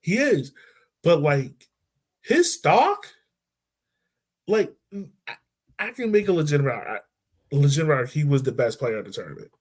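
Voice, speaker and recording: A man co-hosting a podcast, talking football in a loose, animated way with lots of fillers and restarts. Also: a little high, normal speed, pauses often, husky. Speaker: podcast co-host. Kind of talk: casual sports chat.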